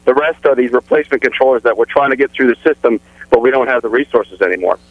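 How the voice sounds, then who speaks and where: A man's voice: mid-range voice, quick pace, dry recording. A middle-aged man with an American accent calling a radio show, explaining his point in an earnest, matter-of-fact way. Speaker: phone-in caller, air traffic controller. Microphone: telephone. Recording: muffled phone-in line, dry.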